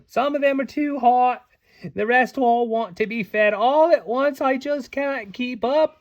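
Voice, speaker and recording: A man's voice: extremely high pitch, unhurried, no room sound, dull audio, pitched above the performer's own voice. A man voicing an overwhelmed, gushing fairy who tends animals, in a storybook read aloud.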